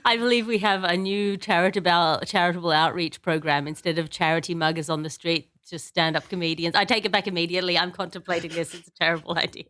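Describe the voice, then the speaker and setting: A woman's voice: on the low side, fairly even intonation, fast talker, few pauses, a bit bright. A woman hosting a comedy news podcast, wry and wordy, moving between mock-grandiose scripted lines and loose riffing. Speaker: podcast host.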